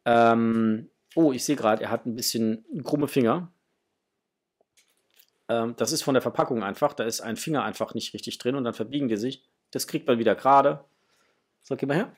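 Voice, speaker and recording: A middle-aged man with a slightly husky voice, chatting casually and enthusiastically to viewers as the host of an unboxing video. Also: mid-range voice, normal speed. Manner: enthusiastic, effusive. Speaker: host of a toy collecting channel. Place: small room at home with framed posters, indoors.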